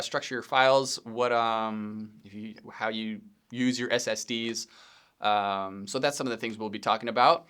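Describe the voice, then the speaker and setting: Smooth-voiced man hosting a podcast. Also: medium pitch. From Pennsylvania. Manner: relaxed, rambling, frequent ums and self-corrections, occasional laughs. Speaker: podcast host, video editor. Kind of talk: casual solo podcast intro. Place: home studio space.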